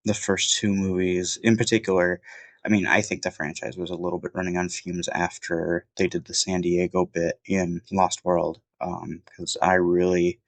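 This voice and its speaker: Deep-voiced man, sniffly and rambling. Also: flat delivery, normal speed, dry recording. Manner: rambling, many fillers and restarts. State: sniffly from a cold. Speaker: film reviewer. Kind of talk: casual off-the-cuff film review. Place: at home.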